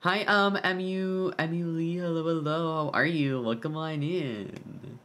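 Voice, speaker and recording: A man's voice: on the high side, fairly even intonation, unhurried, sharp tone. Exclamatory man reacting to a game. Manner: exclamatory, informal. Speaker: streamer reacting to a video game. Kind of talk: casual live game reaction.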